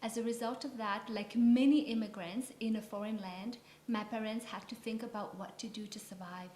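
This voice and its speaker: A woman's voice: on the high side, fairly even intonation, a little mellow. Woman's voice in an echoey room. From Vietnam. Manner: measured, storytelling. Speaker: guest. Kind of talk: interview conversation. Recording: echoey room, faint ambience.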